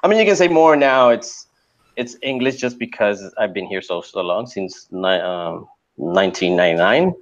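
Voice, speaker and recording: A man's voice: fairly low pitch, lively intonation, normal speed. Hesitant man from Puerto Rico, lo-fi sound. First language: Spanish. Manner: hesitant, many fillers and restarts. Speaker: guest. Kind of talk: casual interview talk. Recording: dull lo-fi sound.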